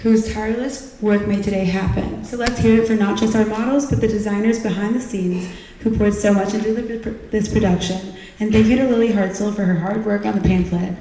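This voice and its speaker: A young woman with a mellow, soft-edged tone reads a prepared speech as a student club leader. The venue is echoey and she is picked up from some distance. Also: medium pitch, steady tone, brisk, slight background noise. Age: young adult. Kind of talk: scripted thank-you and closing speech. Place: large indoor event venue.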